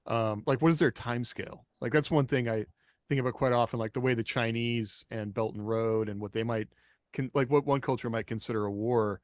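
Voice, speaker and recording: A man with a fairly low voice in a very dry recording. He chats as a podcast co-host, musing his way through ideas with lots of loose, casual phrasing. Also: dry recording. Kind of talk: casual panel discussion.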